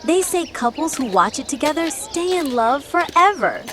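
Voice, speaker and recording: Woman playing an excited, high-pitched young sweetheart. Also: moderate pace, a little hiss or hum. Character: excited young woman, half of a romantic couple.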